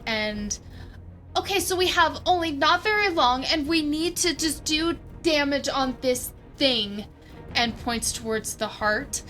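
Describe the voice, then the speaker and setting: A woman's voice: high-pitched, expressive, unhurried, bright, forceful tone, soft background music. A woman playing a tabletop roleplaying game, thinking aloud and casually narrating what her characters do.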